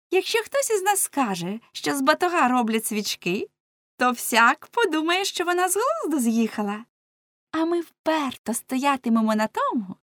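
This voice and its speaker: A woman's voice: sits high, fairly bright tone, no echo, clean studio sound, voice pushed up. A woman voicing bold, bawdy young tavern women in a storybook, teasing and exclaiming shrilly.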